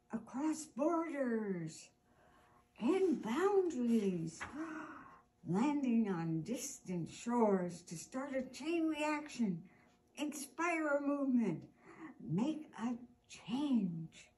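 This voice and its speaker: An older woman in her sixties hosting a children's storytime, reading aloud deliberately and expressively, drawing out words for emphasis. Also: fairly high pitch, very animated, dark tone, slight background noise. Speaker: storytime host reading a picture book aloud. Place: indoor room with armchair.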